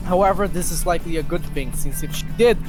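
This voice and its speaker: A person reads a rant out loud for friends on a casual stream. The voice is middling in pitch and sounds exasperated, with the odd stumble. Music plays clearly underneath. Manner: exasperated, swearing, breaking off into laughs and stumbles. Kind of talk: reading a comic rant aloud among friends on a stream.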